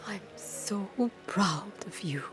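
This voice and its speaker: Slow, coaxing, controlling mother. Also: mid-range voice, a bit bright. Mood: coaxing tenderness. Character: tender mother who turns coldly menacing. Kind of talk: video game cinematic acting. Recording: voice over cinematic music.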